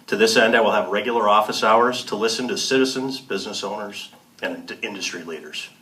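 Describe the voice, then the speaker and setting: A man's voice: fairly low pitch, brisk, bright, forceful tone. A man reading a prepared statement as a mayoral candidate. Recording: distant mic.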